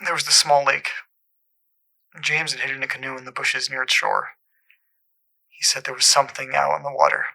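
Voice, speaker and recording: An actor playing a troubled caller in an audio drama, haltingly and earnestly recounting an eerie supernatural memory. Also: male voice, medium pitch, fairly even intonation, vocal fry, sharp tone, heavily acted, poor audio. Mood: uneasy, haunted.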